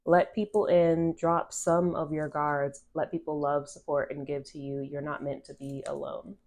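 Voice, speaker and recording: A woman's voice: low voice, little pitch movement, moderate pace, a little mellow. A woman with a stuffy, nasal voice from allergies, giving a casual channeled tarot card reading to a phone camera, rambling with restarts and a lot of "like". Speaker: tarot reader.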